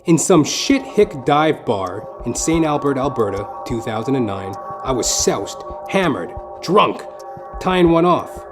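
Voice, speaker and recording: A man's voice: medium pitch, big pitch swings, a little slow. A man delivering scripted comic narration for an audio play, with theatrical, mock-literary phrasing. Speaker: comedian and storyteller. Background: music.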